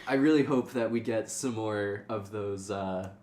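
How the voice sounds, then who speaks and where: A man's voice: fairly low pitch, measured pace, a little mellow. A man co-hosting a casual book discussion, chipping in with short, joking remarks. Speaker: podcast co-host. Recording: echoey room.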